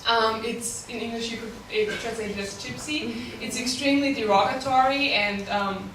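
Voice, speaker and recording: A woman's voice: on the high side, fairly even intonation, normal speed, background noise. A young woman with an Austrian accent, speaking German as her first language, gives a short prepared talk as a staff writer, earnest and dotted with ums. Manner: earnest with light laughs and many ums. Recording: echoey room, voice from a distance.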